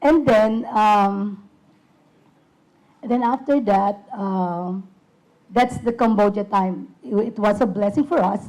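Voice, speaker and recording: A woman with a husky voice giving a hesitant, casual talk about her puppet ministry, with many fillers and restarts. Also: medium pitch, takes it slowly, long pauses, a little mellow, poor audio. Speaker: puppet ministry team member. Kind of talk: casual presentation.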